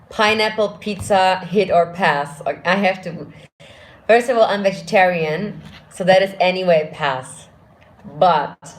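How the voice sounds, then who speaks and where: A deep-voiced woman vocal coach chats through viewer questions on a live stream. Her voice sits fairly low. She talks loosely, restarts her sentences and laughs easily. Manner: chatty, thinks aloud with restarts, laughs often. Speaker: vocal coach and channel host. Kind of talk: casual live-stream Q&A answering chat.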